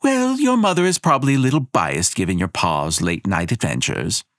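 A man voicing a haughty, sharp-tongued young fire goddess in a storybook reading, snippy and mocking. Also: fairly low pitch, expressive, a little fast, no echo, clean studio sound, voice pushed up. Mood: smug.

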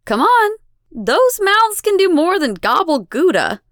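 A woman's voice: mid-range voice, very animated, slow, no room sound, studio quality. A woman voicing a cruel, volatile fire goddess in an audiobook, mocking, imperious and theatrical. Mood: taunting. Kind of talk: audiobook character voice.

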